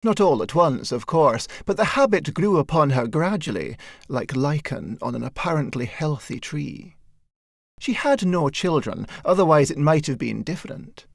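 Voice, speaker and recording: A man reads a satirical short story as an audiobook narrator. His voice sits a little high and moves expressively up and down. The delivery is measured and wry, recorded dry and close. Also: gravelly, no room sound.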